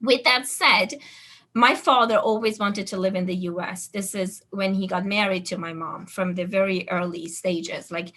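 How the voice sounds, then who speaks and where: A middle-aged woman from Iran, a native Farsi speaker, telling her life story as a podcast guest in a lively way and clearing her throat now and then. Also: mid-range voice, moderate pace. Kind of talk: personal storytelling in an interview.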